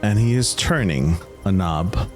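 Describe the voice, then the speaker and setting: A man with a very low voice runs a tabletop roleplaying game as its game master. He is upbeat and affirming as he narrates, and music plays clearly behind him. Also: lively intonation, measured pace. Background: clearly audible music.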